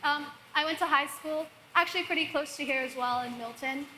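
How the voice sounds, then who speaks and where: A woman in her twenties from Hong Kong, a software engineer talking casually on a conference panel. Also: sits high, a little fast, a little hiss or hum, rough recording. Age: 20s. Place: conference hall.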